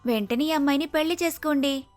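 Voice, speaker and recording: A woman voicing a cheerful, respectful young woman in an animated moral story. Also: sits high, fast, faint music behind, lightly acted. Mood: eager, persuading. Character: brave, cheerful, respectful young woman, a helpful house guest.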